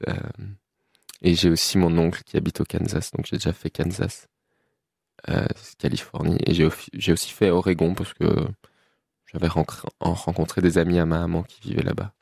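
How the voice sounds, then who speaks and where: A man in his twenties from Belgium, a musician guest chatting casually in an interview, relaxed with frequent laughs and fillers. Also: low-pitched, gravelly, dry recording, clean studio sound. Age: 20s.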